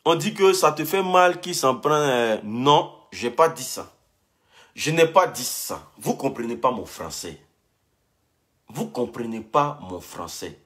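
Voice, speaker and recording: A young man vents on a phone live stream, talking straight at a rival and doubling back on his own phrases. His voice sits a bit high, and his pitch leaps and drops constantly as he gets heated. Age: young adult. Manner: heated, repeats himself, speaks straight at a rival. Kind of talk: casual live-stream rant.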